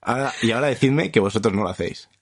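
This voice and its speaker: A man's voice: medium pitch, racing. A man in his thirties from Madrid with a Madrid accent, co-hosting a casual comedy podcast with crude, exaggerated jokes. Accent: Madrid Spanish. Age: 30s. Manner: joking, exaggerated, crude slang. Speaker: podcast co-host.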